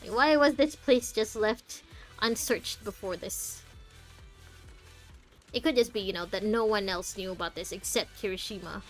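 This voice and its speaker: A woman gives casual playthrough commentary as a game streamer. She rambles and thinks aloud at a medium pitch, with faint music behind her. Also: a little slow.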